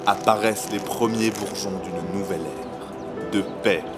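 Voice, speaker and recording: A man with a fairly low voice delivers scripted, dramatic fantasy narration. Loud music plays beneath him throughout. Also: a little slow.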